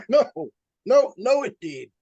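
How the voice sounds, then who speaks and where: A modest elderly doctor, acted by a man in an old-time radio drama. The voice is husky and dry, with a weak chest behind it. He speaks slowly and haltingly, with genial courtesy. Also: very high voice, big pitch swings, a little mellow, no echo, lo-fi sound, exaggerated voice. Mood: bashful, genial. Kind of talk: 1940s live radio drama acting.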